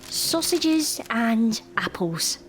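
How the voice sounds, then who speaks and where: High, lilting streetwise young woman. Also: big pitch swings, extremely slow, faint music behind, heavily acted. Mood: wry, teasing. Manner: teasing, wry. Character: teasing, streetwise young woman among London thieves. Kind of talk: audio drama acting.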